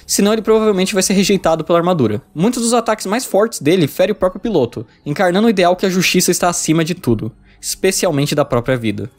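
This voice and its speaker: A man's voice: high-pitched, animated, fast talker, hardly any pauses. A man with a Brazilian accent giving scripted narration for a video essay.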